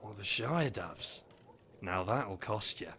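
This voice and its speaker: A man's voice: fairly low pitch, animated, slow, sharp tone, breathy edge, heavily acted. A man playing a chatty, gossiping barkeep who uses crude slang.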